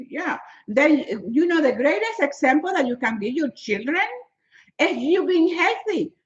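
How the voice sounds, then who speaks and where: Older woman, 70s, fitness motivator on a live stream, fairly high voice, dull lo-fi sound. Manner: emphatic, repetitive, non-native phrasing. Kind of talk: live-stream chat answering viewers.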